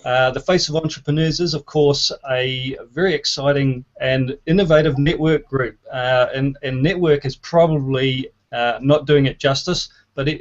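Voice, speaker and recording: Man, host, enthusiastic, lots of uh fillers, slightly high voice, dull call audio. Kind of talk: online event hosting.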